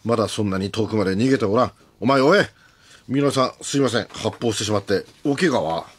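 A man playing a gruff, earnest police inspector in a comic radio drama, speaking in clipped, solemn commands. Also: lowish voice, fairly quick, dry recording. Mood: urgent, dutiful.

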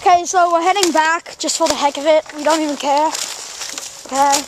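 A woman's voice: sits high, steady tone, brisk. A young woman bantering in a dramatic, bossy way through an improvised mock nature documentary, recorded outdoors on noisy camera audio. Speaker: amateur video host. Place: outdoors in woods.

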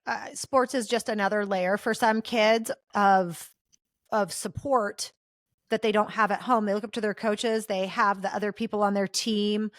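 A woman's voice: mid-range voice, no echo, studio quality. A woman with an American accent hosting a casual, chatty interview podcast in an earnest, informal and effusive way. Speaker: podcast host.

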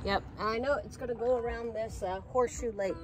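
A woman's voice: fairly high pitch, fairly even intonation, brisk. A middle-aged woman chatting casually outdoors, co-hosting a travel vlog into a phone camera at arm's length. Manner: chatty, chiming in alongside her husband. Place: outdoors on an island, patios and trails.

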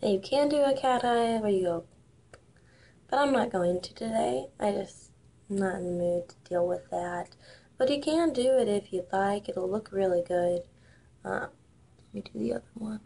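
A woman's voice: mid-range voice, normal speed, vocal fry, warm tone. A young woman in her twenties chatting casually through a makeup tutorial, sniffly from a cold, recorded on a webcam in a small room. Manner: chatty, offhand, self-deprecating. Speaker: makeup vlogger. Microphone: built-in webcam mic at arm's length.

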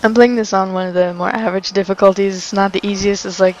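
A woman's voice: medium pitch, fairly even intonation, fast talker, slight background noise, low-quality recording. A young woman casually commenting while she plays a video game, chatty and rambling with frequent laughs. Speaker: let's play host. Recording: commentary over game sounds.

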